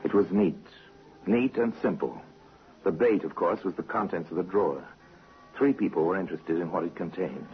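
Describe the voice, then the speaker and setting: A man narrating a crime story in a grave, deliberate, suspenseful manner, as host of a vintage radio show. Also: low voice, soft background music. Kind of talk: scripted dramatic narration. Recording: hissy muffled vintage radio recording.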